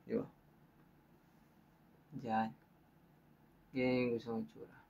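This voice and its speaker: Gravelly Filipino man giving a casual tutorial. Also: mid-range voice, monotone, slow pace, a little mellow, dull audio. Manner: informal, thinking aloud, checks in with viewers. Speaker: tutorial host. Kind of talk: casual hands-on tutorial talk.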